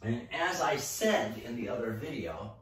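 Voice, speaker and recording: A middle-aged man giving a casual painting demonstration, talking haltingly and thinking aloud as he works. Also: mid-range voice. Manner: relaxed, halting, thinking aloud while working. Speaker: mural painter presenting a tutorial. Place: indoor room, painting at a wall. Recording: echoey room, distant mic, faint noise.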